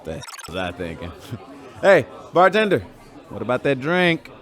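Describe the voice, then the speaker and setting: An actor playing an arrogant, loudmouth star pilot in a sci-fi radio drama, boastful and sneering. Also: male voice, mid-range voice, big pitch swings, normal speed, a faint crowd. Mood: bitter.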